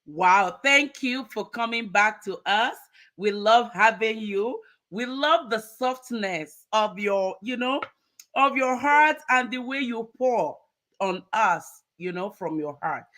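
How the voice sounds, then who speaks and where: A woman hosting a live motivational talk show, energetic and upbeat, often ending phrases with "right?". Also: fairly high pitch, slow. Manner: energetic, repeats words, ends phrases with "right?". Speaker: host.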